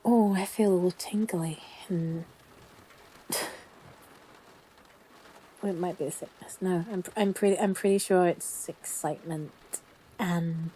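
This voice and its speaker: Woman acting a lovestruck, mellow, slow-talking sick sweetheart. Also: on the low side, steady tone, a little hiss or hum, rough recording. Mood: giddy, smitten. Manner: flustered, gushing, halting, sighing and giggly. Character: lovestruck, grumpy-but-soft woman sick in bed, newly smitten. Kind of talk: intimate audio roleplay to a listener.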